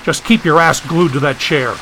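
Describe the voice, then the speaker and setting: A man's voice: a little high, normal speed, sharp tone, a little hiss or hum, low-quality recording. A man voicing an irritable, cynical, foul-mouthed man who speaks bluntly and snappishly. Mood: irritated.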